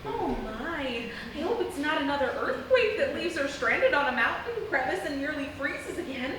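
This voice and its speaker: A woman's voice: high-pitched, animated, normal speed. A woman playing a frazzled, sardonic country mother who mutters dry asides in a parody radio play. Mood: sardonic muttering. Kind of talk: parody old-time radio acting. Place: live stage in a theatre. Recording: very echoey theatre, distant mic, noise.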